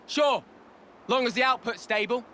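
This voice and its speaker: A man voicing a small, bossy, excitable mechanic who barks orders and exclaims in a video game. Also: extremely high pitch, moderate pace, a little hiss or hum. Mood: bossy, urgent. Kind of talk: scripted video game voice acting.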